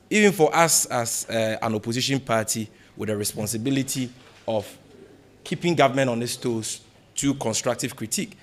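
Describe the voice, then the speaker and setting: Male political spokesperson, Ghanaian accent, medium register, formal and deliberate press-conference delivery with emphatic repeats. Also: medium pitch. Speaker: opposition party spokesperson at a press briefing. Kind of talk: press conference remarks, answering questions.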